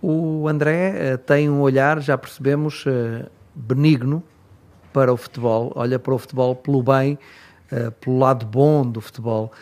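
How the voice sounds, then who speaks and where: A man with a European Portuguese accent hosts an interview at an ordinary, mid-range pitch. He asks long questions and pads them with frequent hesitant fillers. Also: fairly even intonation, normal speed, a little mellow. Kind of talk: radio interview.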